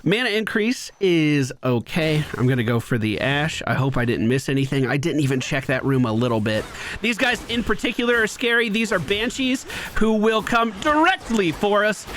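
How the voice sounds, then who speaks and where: A man's voice: sits high, expressive, moderate pace, fairly bright tone, slight background noise. A man streaming a video game, giving casual play-by-play commentary on his own moves with asides to viewers. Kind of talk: live gameplay commentary, casual.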